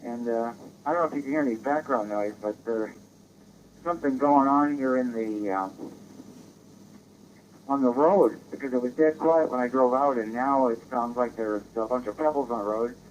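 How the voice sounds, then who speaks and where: A man's voice: mid-range voice, moderate pace. A man chatting casually as an amateur radio operator, heard through a digital radio transmission. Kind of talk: casual ham radio chat. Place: in a car. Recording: dull digital radio link, faint noise.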